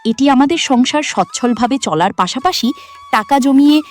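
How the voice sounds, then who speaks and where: A woman performs a hardworking, patient young bride for a village cartoon. Her voice is high, earnest and gently pleading. Soft music runs behind. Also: animated, moderate pace. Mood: hopeful. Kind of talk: cartoon voice acting.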